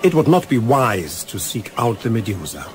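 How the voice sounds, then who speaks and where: A man's voice: mid-range voice, very animated, moderate pace, close to an everyday voice. Male actor as German commander, polite menace, German accent, swooping intonation, radio drama, faint noise behind. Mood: veiled warning. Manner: courteous, insinuating, hesitant phrasing. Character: Von Brüning, suave German naval commander, slyly menacing. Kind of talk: radio play acting.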